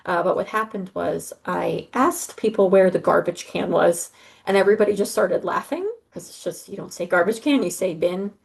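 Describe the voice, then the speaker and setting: Advisor, woman, middle-aged; low-pitched and gravelly, earnest and reassuring, lots of fillers, on a video call. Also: normal speed. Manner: earnest, reassuring, with frequent ums and uhs. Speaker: study abroad advisor. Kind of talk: informational briefing on a call.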